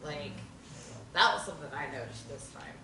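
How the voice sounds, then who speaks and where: Low-voiced woman, thoughtful, in an echoey room. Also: normal speed, bright, forceful tone. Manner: thoughtful, searching, many fillers. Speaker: actor who read stage directions. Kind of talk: casual feedback discussion. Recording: echoey room, distant mic, noisy.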